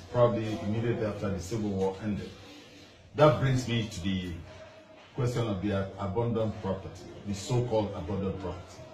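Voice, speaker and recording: Deliberate lower-voiced male interviewer. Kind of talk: measured interview question. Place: small indoor room, seated. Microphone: far camera. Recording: echoey room from far off with clear hiss.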